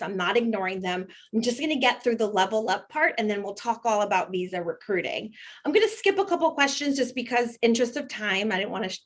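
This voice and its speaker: Brief: cheerful woman panel moderator on a video call, encouraging, conversational. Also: on the high side. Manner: upbeat, encouraging, chatty. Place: home, joined by video call.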